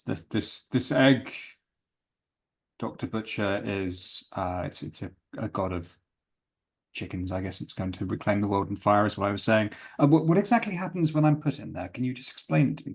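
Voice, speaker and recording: Man playing a gravelly, stammering anxious scientist. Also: lowish voice. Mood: nervous, stalling. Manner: stuttering, halting, wordy and pedantic. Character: anxious, evasive male scientist doctor, fussily principled.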